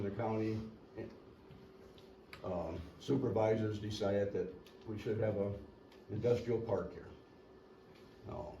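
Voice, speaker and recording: An older man, a local resident, telling a rambling, folksy history at a public meeting and clearing his throat now and then. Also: mid-range voice, warm tone, lo-fi sound. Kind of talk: storytelling public comment. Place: community meeting room. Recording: echoey meeting room, distant mic, faint hiss.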